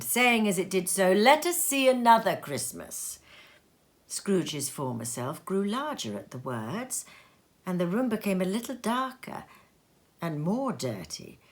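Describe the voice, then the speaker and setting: A woman reads a classic story aloud in a fairly low voice. Her narration is slow and deliberate, with dramatic phrasing and a very expressive rise and fall. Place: sitting room at home.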